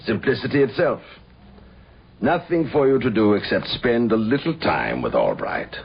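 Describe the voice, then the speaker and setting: An actor playing a suave, menacing club agent who makes smooth, deliberate threats in a radio drama. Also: male voice, medium pitch, sing-song. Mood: smugly persuasive. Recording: dull lo-fi radio recording, faint noise.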